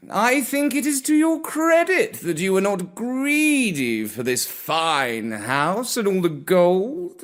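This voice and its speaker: A man voicing a gracious, generous aging male cat with a purring, measured manner for a storybook. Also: high voice, voice pushed up, exaggerated voice.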